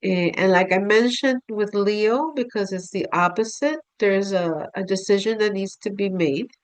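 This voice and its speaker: A woman's voice: medium pitch, no echo. A woman astrologer with a cold and a nasal voice, talking casually to her viewers with many fillers and restarts. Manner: unhurried, frequent fillers and restarts, addresses viewers as "you guys". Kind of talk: casual explanatory talk to camera.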